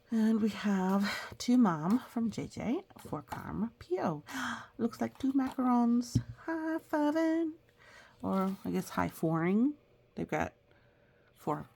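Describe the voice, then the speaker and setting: Woman, children's read-aloud, unhurried pace, gravelly fry, playful asides, exclamations. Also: lowish voice, a little mellow, a little hiss or hum. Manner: playful, exclaiming, chatting to herself between readings. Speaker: picture book reader for children.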